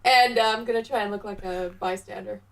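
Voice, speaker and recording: A woman's voice: medium pitch, steady tone, fairly quick, gravelly, noisy background. A woman playing a tabletop role-playing game, casually and with amusement describing what her character does.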